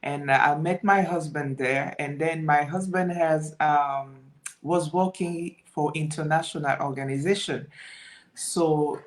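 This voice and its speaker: A woman's voice: sits low, fairly even intonation. Reflective woman on a call. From Democratic Republic of Congo. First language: French. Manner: reflective, fills pauses with "you know". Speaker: guest entrepreneur. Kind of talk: casual interview storytelling.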